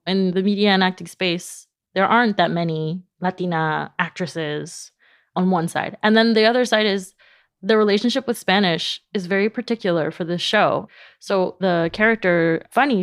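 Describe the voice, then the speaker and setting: Lowish-voiced woman chatting in an interview. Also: clean tone, no room sound, studio quality. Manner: informal, explanatory, frequent "like". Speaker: podcast company founder, guest. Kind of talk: casual interview conversation.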